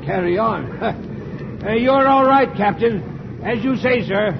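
An actor playing a loud-mouthed, mocking old ship's engineer with a gravelly voice in a 1940s radio adventure. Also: male voice, sits high, a little slow. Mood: mocking glee. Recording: muffled, noisy 1940s radio recording.

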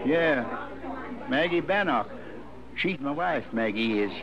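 A man's voice: medium pitch, lively intonation, slow pace. Meek, nervous circus man. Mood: nervous, sheepish. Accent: American. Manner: hesitant, apologetic, rustic grammar. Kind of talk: 1950s radio western acting. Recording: dull radio recording, crowd behind.

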